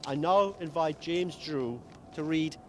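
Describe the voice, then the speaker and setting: High-voiced man reading a formal declaration. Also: takes it slowly, noisy background. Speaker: master of ceremonies at a commemoration. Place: outdoors in a city square.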